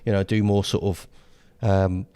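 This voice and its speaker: A man's voice: low voice, flat delivery, a little slow, warm tone, clean tone. A man with an English accent co-hosting a podcast indoors by a fire, talking in a chatty, jokey way with many fillers. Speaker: podcast co-host. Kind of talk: casual chat.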